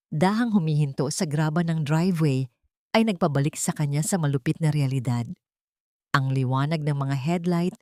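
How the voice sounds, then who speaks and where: A woman reading a scripted dramatic story in a measured, solemn, suspenseful way. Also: sits low, warm tone, no echo, studio quality. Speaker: narrator of audio drama stories.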